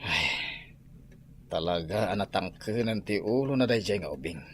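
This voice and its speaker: A man's voice: deep voice, expressive, normal speed, a bit bright, slight background noise. A man playing a stern, worried father in an audio drama, with a Filipino accent. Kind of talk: Ilocano audio drama acting.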